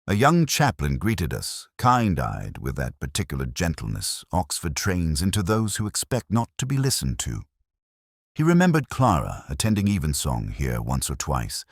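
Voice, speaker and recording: A man reading a scripted first-person detective story in a measured, brooding, reflective way. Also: extremely low pitch, slightly rough, dry recording, clean studio sound. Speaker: narrator.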